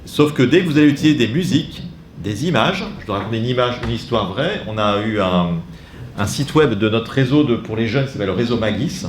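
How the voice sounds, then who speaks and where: A man's voice: mid-range voice, lively intonation, a little fast, a little hiss or hum. A man giving an informal training talk to catechists, chatty and digressive with self-mocking asides while demonstrating on a computer. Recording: echoey room, faint ambience.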